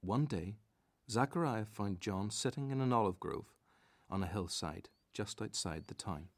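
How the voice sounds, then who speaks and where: A man's voice: fairly low pitch, expressive, measured pace, no room sound. A man narrating a scripted radio drama as a storyteller.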